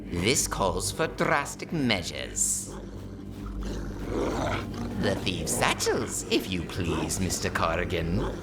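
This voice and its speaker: An actor playing a scheming, sinister villain leader in an audio drama, speaking in a measured, ominous way. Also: male voice, low voice, wildly swooping pitch, very noisy, heavily acted. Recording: music behind.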